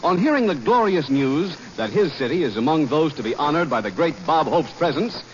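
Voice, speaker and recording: Persuasive 1940s radio announcer man. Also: sing-song. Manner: brisk, persuasive pitch. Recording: dull 1940s radio broadcast, faint hiss.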